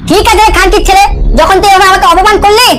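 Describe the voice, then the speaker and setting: A man's voice: extremely high pitch, little pitch movement, quick pace, rough recording. A man voicing a bitter, foul-mouthed young cartoon labourer who grumbles crudely. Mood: offended. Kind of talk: amateur cartoon comedy dub.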